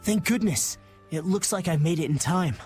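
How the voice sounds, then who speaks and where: A man's voice: high voice, a little mellow, faint music behind, close to an everyday voice. Man as a light-voiced, courteous young prince. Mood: apologetic, formal. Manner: formal, measured, polite. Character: gentle, earnest young prince, courteous and dignified. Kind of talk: video game voice acting.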